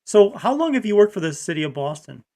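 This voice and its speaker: A man with a fairly high voice and a heavy creak hosts a casual live chat. He is from Rhode Island and talks at length in a loose, rambling way. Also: sing-song, fast, darkish tone, slight background noise. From Rhode Island, United States. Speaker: live show host.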